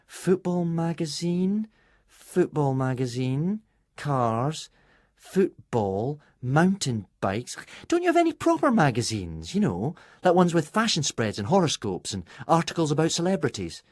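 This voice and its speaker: A man's voice: on the high side, very animated, a little slow, dry recording, studio quality, voice pushed up. A man voicing a sassy teenage girl in an audiobook, bossy and mocking with an emphatic delivery. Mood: exasperated.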